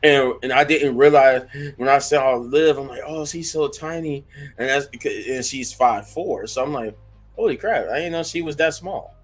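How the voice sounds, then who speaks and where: A hoarse man giving a casual, rambling wrestling review, full of fillers and restarts. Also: mid-range voice, moderate pace, faint music behind.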